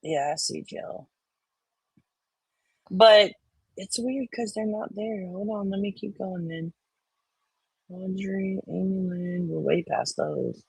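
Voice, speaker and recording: A woman bantering casually and reading online comments out loud in a blunt, sweary, folksy way. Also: mid-range voice, takes it slowly, gravelly, long pauses, husky, dry recording, poor audio. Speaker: co-host reading comments aloud.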